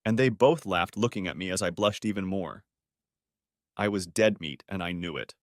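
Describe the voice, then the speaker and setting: A man's voice: deep voice, normal speed, dry recording, clean studio sound. A man reading a scripted first-person story as its narrator.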